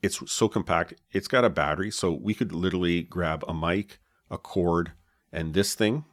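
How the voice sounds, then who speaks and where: A man with a low voice, co-hosting a podcast and explaining his gear in a relaxed way, with many fillers, on a dynamic mic with a pop filter. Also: moderate pace, dry recording, clean studio sound. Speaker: podcast co-host. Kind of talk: casual chat about podcast gear. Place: home podcast setup. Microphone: dynamic podcast mic with pop filter and foam cover.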